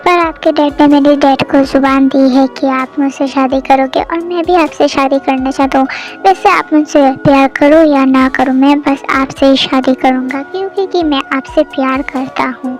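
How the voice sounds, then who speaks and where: A young reader voicing a soft-spoken young suitor who quietly insists on the marriage. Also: sits high, steady tone, normal speed, soft background music. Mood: insistent.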